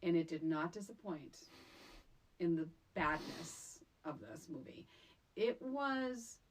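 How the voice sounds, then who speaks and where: A woman's voice: fairly low pitch, expressive, takes it slowly, a little hiss or hum. A middle-aged American woman co-hosting a casual film podcast, speaking in a wry, emphatic way. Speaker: podcast co-host.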